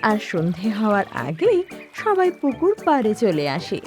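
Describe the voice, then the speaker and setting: A woman's voice: mid-range voice, wildly swooping pitch, a little slow, over loud music. A woman narrating a scripted children's cartoon story as a storyteller.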